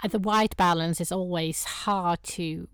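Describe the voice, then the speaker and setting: A woman with a Swedish accent chatting as an interview guest, searching for words in halting English. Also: lowish voice, steady tone, clean tone, no echo, studio quality. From Sweden. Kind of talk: casual interview chat.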